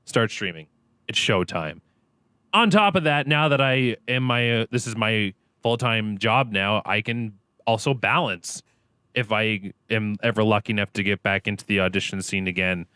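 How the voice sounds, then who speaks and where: A man's voice: lowish voice, moderate pace, clean tone, no room sound, studio quality. A young adult man, a guest on a podcast, chatting casually in an upbeat, joking way. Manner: upbeat, jokey and animated. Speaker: podcast guest, streamer and actor. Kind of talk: casual interview chat.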